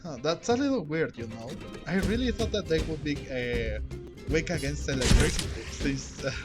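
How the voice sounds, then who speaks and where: A man's voice: fairly high pitch, animated, moderate pace. A man giving casual, rambling gameplay commentary, thinking aloud as he plays. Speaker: gamer recording a playthrough. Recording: game music behind the voice. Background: video game music.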